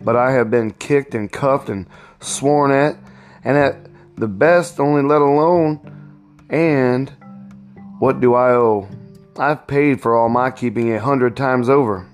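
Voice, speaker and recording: A man's voice: mid-range voice, takes it slowly, faint music behind, close to an everyday voice. A man reading a storybook, voicing a proud, indignant young enslaved husband in an emphatic, aggrieved way. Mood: defiant. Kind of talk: storybook read-aloud.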